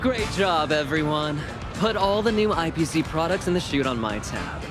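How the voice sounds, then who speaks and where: A wealthy director who answers everything in a breezy, offhand way with a dry edge. His voice is lively, with music under it. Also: fairly high pitch, normal speed. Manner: breezy, nonchalant, wry. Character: rich, flashy male director, cool and dry. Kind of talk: video game cutscene voice acting.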